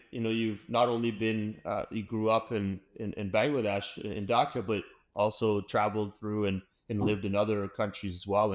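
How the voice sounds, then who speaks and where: Male host, somewhat low voice, eager and chatty, lots of restarts. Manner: upbeat, enthusiastic, many fillers and restarts. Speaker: podcast host. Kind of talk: casual interview chat.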